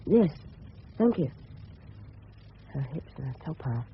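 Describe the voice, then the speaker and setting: A man's voice: a little high, big pitch swings, a little slow, breathy edge, exaggerated voice. A male actor playing a polite, earnest, self-conscious English schoolboy of fourteen in a radio drama. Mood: musing, awkward. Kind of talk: radio drama acting with inner monologue. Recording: noisy tinny old radio recording.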